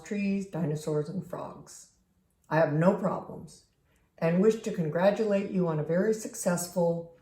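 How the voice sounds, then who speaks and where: Woman as a contented, measured crayon, mellow. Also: fairly low pitch. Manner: formal, measured, congratulatory. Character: contented, diplomatic green crayon. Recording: roomy, echoing home recording.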